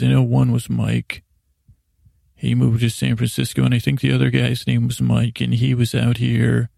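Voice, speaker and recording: A man hosting a sleep podcast, rambling in a halting, self-interrupting way with silly, self-deprecating asides that trail off mid-thought. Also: medium pitch, creaky, warm tone, no room sound, studio quality. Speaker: podcast host.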